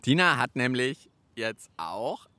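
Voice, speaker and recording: A man's voice: mid-range voice, slow pace, no echo. A man hosting a children's radio show in a playful, chatty manner. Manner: playful, animated. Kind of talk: lively children's show chat.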